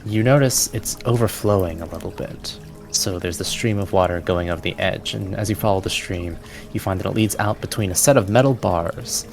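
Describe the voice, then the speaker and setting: A man narrating a tabletop fantasy game as game master, in a deliberate, descriptive storytelling manner. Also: low-pitched, creaky, faint music behind.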